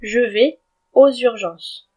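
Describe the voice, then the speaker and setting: Woman teaching grammar, slightly high voice, slow and careful, spells stuff out letter by letter. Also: mellow. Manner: clear, deliberate, spells out words letter by letter. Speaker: French teacher. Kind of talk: slow scripted grammar lesson.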